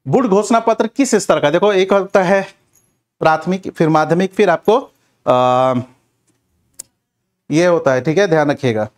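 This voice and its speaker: Exam coach: male, slightly high-pitched, instructive lecture delivery, posing questions and answering them, with frequent reminders. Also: unhurried, long pauses. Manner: instructive, repeats check phrases like a classroom teacher.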